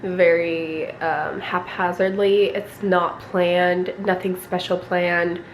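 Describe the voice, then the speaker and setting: A young woman vlogging at home with a raspy voice from a lingering cold, rambling casually and clearing her throat often. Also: on the low side, level tone, takes it slowly, slight background noise, poor audio. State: recovering from a cold, coughing. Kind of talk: casual vlog chat. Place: at home, indoors.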